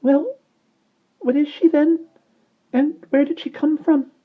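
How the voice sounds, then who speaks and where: A shrill, cheeping baby bird. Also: male voice, steady tone, takes it slowly. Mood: curious. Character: tiny curious fledgling bird, young and eager. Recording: dull lo-fi recording.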